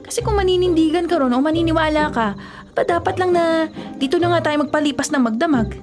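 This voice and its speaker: An actress playing an exasperated, teasing younger sister in a Filipino radio drama, scoffing at old superstitions in a sassy, conversational way. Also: female voice, extremely high pitch, quick pace, music in the background. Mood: fed up.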